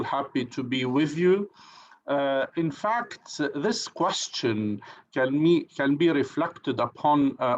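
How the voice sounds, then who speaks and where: A man who is a professor, speaking on an online conference panel, hesitant, with many fillers and restarts. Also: medium pitch. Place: online video call. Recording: dull lo-fi video call audio.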